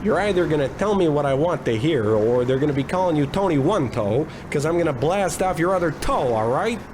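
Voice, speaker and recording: A man playing a bumbling, self-important private detective in a comic 1940s-style radio noir, blustering and deadpan. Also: on the high side, brisk, background noise, close to an everyday voice. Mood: impatient, blustering.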